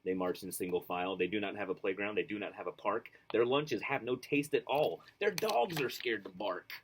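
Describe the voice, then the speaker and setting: A man's voice: on the low side, fairly quick, slight background noise. A man reading a children's book aloud expressively, with enthusiastic casual asides. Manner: enthusiastic, animated. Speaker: storybook reader.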